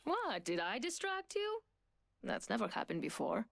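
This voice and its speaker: An actress playing a poised, confident, seductive thief in a video game, with cool, teasing delivery. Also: female voice, a little high, huge pitch swings, normal speed, creaky, no room sound, heavily acted. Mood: teasing confidence. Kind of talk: video game character voice lines.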